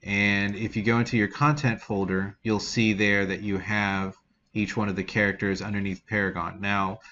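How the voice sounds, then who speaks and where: A man giving a casual software tutorial as an instructor, explaining in an informal, rambling way. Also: lowish voice, flat delivery, normal speed. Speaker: game development instructor. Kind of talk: casual screen-recorded software tutorial walkthrough. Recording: dull lo-fi mic with background noise.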